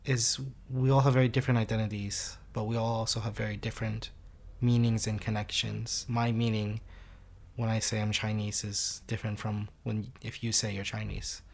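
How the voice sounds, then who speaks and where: A young man from the United States, speaking in an interview in a reflective, measured way, stretching out key phrases. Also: fairly low pitch, level tone, vocal fry. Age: young adult. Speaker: guest, student organiser. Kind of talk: conversational interview.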